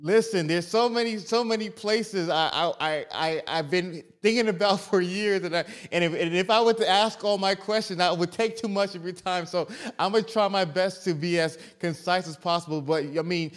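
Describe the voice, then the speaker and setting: A young man hosting a podcast, giving an excited, gushing introduction with stammered restarts. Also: sits high, moderate pace, few pauses. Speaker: podcast host.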